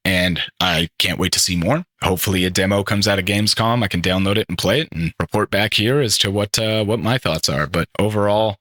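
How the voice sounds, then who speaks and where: A man's voice: deep voice, pushed and bright, dry recording, clean studio sound. A young man hosting a gaming podcast alone, rambling and enthusiastic, often correcting himself as he talks through the games. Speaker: podcast host. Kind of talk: solo casual gaming podcast commentary.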